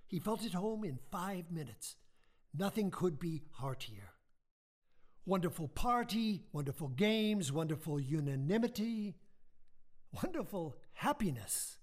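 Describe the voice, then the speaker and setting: A man narrating a stage radio play with expressive storytelling. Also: on the high side.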